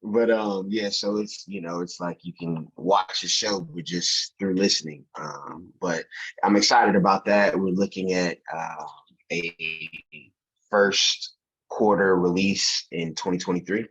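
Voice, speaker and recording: A young man chatting casually and in slang as a podcast guest, with an animated manner. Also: low voice, unhurried, husky. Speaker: podcast guest, filmmaker and actor. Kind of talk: casual chat. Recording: dull, muffled lo-fi audio.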